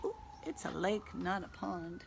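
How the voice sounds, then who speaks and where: Woman, children's read-aloud, teacher, unhurried pace, slightly creaky voice, animated explanations, noisy background. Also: on the low side. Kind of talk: expressive picture-book read-aloud with asides.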